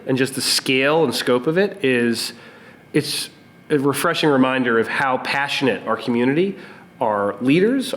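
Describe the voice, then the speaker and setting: A man's voice: medium pitch, fairly bright tone. A man co-hosting a podcast live at a conference, upbeat and joking, with playful asides. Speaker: podcast co-host. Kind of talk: casual podcast chat.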